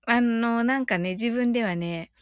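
A woman's voice: on the high side, normal speed, clean tone, dry recording, clean studio sound. A woman hosting a livestream, talking to listeners and leading mindfulness. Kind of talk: casual livestream chat.

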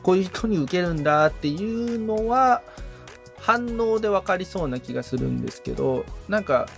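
A man's voice: high voice, animated, moderate pace, creaky, soft background music. A man talking as the assistant and learner, tentatively thinking aloud as he asks questions. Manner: tentative, thinking aloud, frequent soft agreement. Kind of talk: casual, hesitant questioning and listening.

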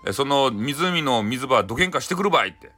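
A man's voice: mid-range voice, lively intonation, quick pace, sharp tone, faint music behind. A man voicing a curious, determined young boy who speaks plainly in folksy Hakata dialect. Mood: grateful, resolute.

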